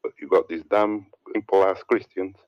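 Deep-voiced man on a preachy rant. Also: fairly even intonation, normal speed, no echo, highs cut off. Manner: preachy, rambling, repeats words, mocks others in a put-on high voice. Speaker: religious commentator. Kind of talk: heated monologue.

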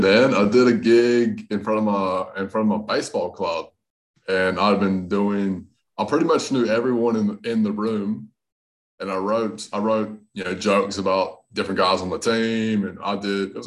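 A man from North Carolina with a mixed Southern US and Australian accent, a comedian chatting casually as a podcast guest. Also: fairly low pitch. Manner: laid-back, says man and dude a lot.

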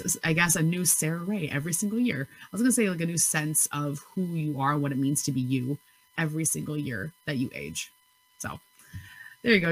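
A woman's voice: sits low, husky, rough recording. A woman in her thirties hosting a solo podcast in a chatty, giggly way, often correcting herself mid-sentence. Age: 30s. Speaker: podcast host.

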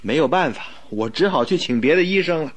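An actor playing an earnest, courteous doctor in a radio drama, speaking politely and with concern. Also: male voice, a little high, animated, brisk. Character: earnest, courteous male doctor, detective's worried friend. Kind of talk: Mandarin radio drama acting.

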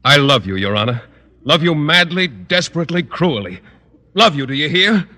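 An actor in an old-time radio comedy playing an overwrought, lovestruck bodyguard who gushes with ardent passion. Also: male voice, fairly high pitch, sing-song, normal speed, bright, forceful tone. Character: melodramatic, lovestruck suitor and bodyguard, gushing with passion. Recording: vintage radio comedy recording.

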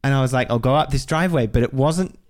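A man hosting a casual podcast, telling a story in an animated way with lots of quick hedges. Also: medium pitch. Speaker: podcast host. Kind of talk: casual chat.

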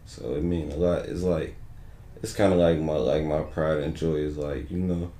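Deep-voiced laid-back rapper. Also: male voice, steady tone, normal speed, warm tone, reverberant. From Detroit, USA. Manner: laid-back, loose slang with frequent "you know what I'm saying". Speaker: rapper guest. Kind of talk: casual interview chat.

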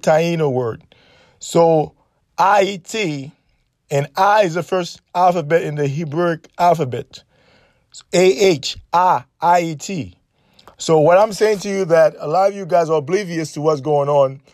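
A man's voice: on the high side, a little mellow, no echo, studio quality. A man giving an impassioned, preachy political monologue, emphatic and repetitive, who grew up in Haiti. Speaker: online commentator.

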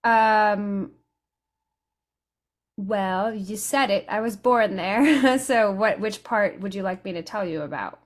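A woman who grew up in Europe, talking casually as an interview guest, explaining things reflectively with thinking pauses. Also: mid-range voice. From Europe: Italy, England and France.

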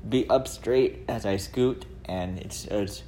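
A man's voice: lowish voice, slow, dark tone, slight background noise. An American man hosting a casual personal audio diary, talking in a chatty, rambling way. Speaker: podcast host. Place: indoors at home, room with laminate floor.

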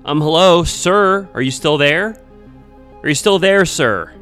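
A man as a female emergency dispatcher, raised pitch, polite, questioning, officious, story character, faint music. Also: animated, unhurried, a bit bright, smooth. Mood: urgent. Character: polite, puzzled female emergency phone operator.

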